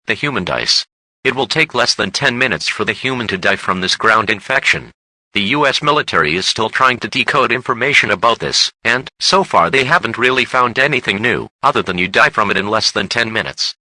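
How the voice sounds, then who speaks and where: Very bright, forceful male alert announcer. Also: mid-range voice, no room sound, low-quality recording. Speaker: emergency broadcast announcer. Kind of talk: scripted emergency alert narration.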